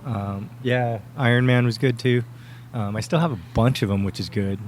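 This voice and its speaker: A man's voice: mid-range voice, normal speed, darkish tone, slight background noise. A young man chatting casually as a podcast guest, rambling with many fillers and easy laughs. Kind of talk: casual interview chat.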